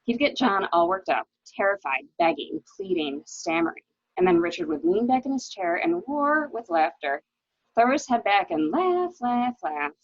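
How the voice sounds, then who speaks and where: A woman's voice: mid-range voice, very animated, moderate pace, no room sound, lo-fi sound. A woman playing a tense, evasive wife suspected of murder, in an amateur dramatic reading of a mystery. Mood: bitter, defiant. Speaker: library staff reader.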